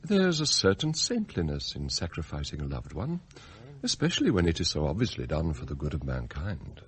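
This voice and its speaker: Sly english butler in a radio play, really breathy husky voice, very up and down, posh servant formality. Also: male voice, rumbling low, huge pitch swings, brisk, heavily acted. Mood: serenely scheming. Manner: formal, unctuous, theatrical. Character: scheming, outwardly deferential butler, secretly anguished and devoted. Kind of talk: radio drama acting, confessional narration and dialogue.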